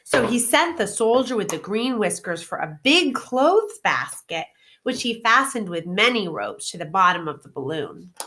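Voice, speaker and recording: A woman teacher reading a children's storybook aloud with expressive, clearly phrased narration. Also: mid-range voice, normal speed.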